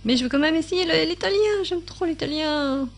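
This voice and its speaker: A woman's voice: extremely high pitch, moderate pace. A woman giving a casual tutorial while thinking aloud at her computer, with many fillers, now and then sounding out translated subtitles in other languages. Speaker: YouTube tutorial maker. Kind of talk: casual screen-recording tutorial.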